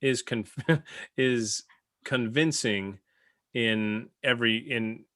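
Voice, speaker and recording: A man co-hosting a casual review discussion, talking in a halting but emphatic, conversational way. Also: mid-range voice, a bit bright, no echo, studio quality. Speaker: podcast host.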